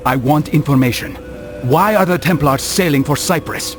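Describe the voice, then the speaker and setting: An actor playing a cool, commanding male assassin in a video game, speaking in a measured, dry way. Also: on the high side, close to an everyday voice. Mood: interrogating. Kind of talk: dramatic video game acting. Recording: loud music behind the voice.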